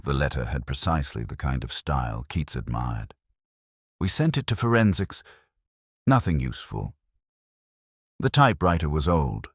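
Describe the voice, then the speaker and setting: A man playing a weary, cerebral police inspector, narrating a detective audio drama in a measured, brooding, literary way with a gravelly voice. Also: very deep voice, a little mellow, dry recording, clean studio sound, full character voice.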